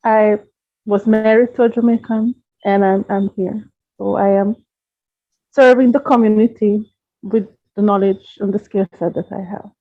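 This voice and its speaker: A woman from Hungary, a guest astrologer explaining her craft in an earnest, measured way. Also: medium pitch, fairly even intonation. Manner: earnest, measured, gently repeats words. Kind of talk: interview answers, explaining at length. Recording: dull lo-fi podcast audio.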